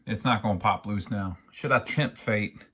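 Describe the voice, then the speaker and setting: A man's voice: on the low side, moderate pace, fairly bright tone, slightly rough. An American man giving a casual hands-on repair walkthrough, thinking aloud in a folksy, plain-spoken way. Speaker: amateur radio repairer, video host.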